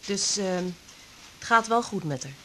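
A woman's voice: mid-range voice, expressive, a little slow, pushed and bright, slight background noise. A woman acting a troubled, resigned character in a radio drama, talking in a hesitant, subdued way. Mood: hesitant, uneasy.

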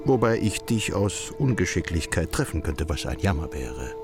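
An actor playing a wordy, resigned, ironic student friend in a radio drama, speaking in a measured, dry manner. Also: male voice, low-pitched, sing-song, vocal fry, over music, full character voice. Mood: dry, resigned irony. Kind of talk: literary radio drama acting.

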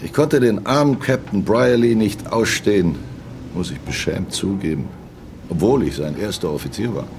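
A man's voice: lowish voice, lively intonation, normal speed, noisy background. A man playing a grizzled, resentful old ship's first officer recounting events grudgingly in a radio play. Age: older. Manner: grudging, salty, mimicking his captain. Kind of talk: dramatic first-person recollection in a radio play.

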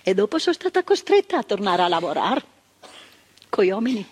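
An older actress playing a frank, earnest former psychiatric nurse in a stage monologue. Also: female voice, mid-range voice, very animated, a little fast, slightly rough. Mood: earnest recollection. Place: theatre stage.

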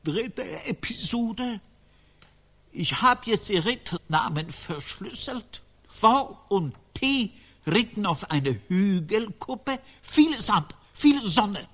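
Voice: mid-range voice, lively intonation, fairly bright tone. An actor playing a solemn, pedantic monkish scribe who formally recites a chronicle in a radio play. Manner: deliberate, announcing, naive solemnity.

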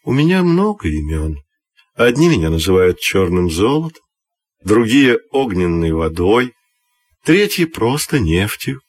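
A man voicing Oil, a friendly, evasive and calm substance in a children's storybook tale, speaking in an unruffled manner. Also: fairly low pitch, a little slow, breathy edge, no echo, heavily acted.

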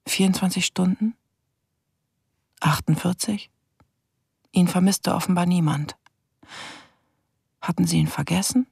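An actress playing a sober, terse police detective who narrates reflectively in clipped phrases in a radio crime drama. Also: female voice, fairly low pitch, unhurried, vocal fry, long pauses, darkish tone, breathy edge, dry recording, studio quality, full character voice. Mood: brooding.

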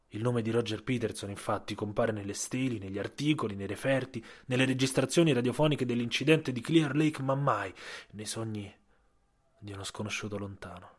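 A man narrating a scripted audio story with a dramatic, literary delivery and a husky voice. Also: medium pitch, moderate pace. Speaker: writer and narrator.

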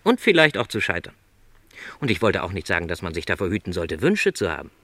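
An actor playing a middle-aged travelling salesman in a radio play, gentle, earnest and lonely, with courteous, careful, apologetic phrasing. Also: sits low, sing-song, fast, no echo, exaggerated voice.

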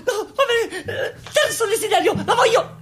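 A woman's voice: very high voice, moderate pace, background noise. A woman playing a naive, lovestruck male rebel in exaggerated, clownish comic theatre. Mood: excited. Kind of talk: theatrical comic stage acting.

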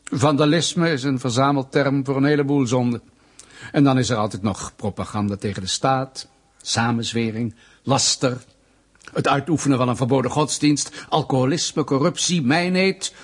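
An actor playing a smug, menacing state official in a Dutch radio play, mock-polite, pedantic and insinuating. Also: male voice, mid-range voice, normal speed, natural voice. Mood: smug, threatening.

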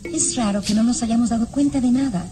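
A woman's voice: fairly high pitch, brisk, warm tone, soft background music, lightly acted. An actress in a radio drama playing a puzzled woman of a castle household. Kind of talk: classic Latin American radio drama acting.

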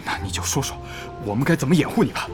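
A man's voice: mid-range voice, expressive, fast, close to an everyday voice. A man playing an earnest, harried guild leader in a Chinese audio drama, polite and often flustered. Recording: music behind the voice.

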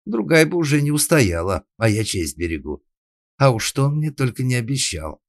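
A man's voice: low-pitched, animated, fairly quick, breathy edge, dry recording, clean studio sound. A man voicing an indignant young maidservant who talks back in a defiant, colloquial, grumbling way in a comic story.

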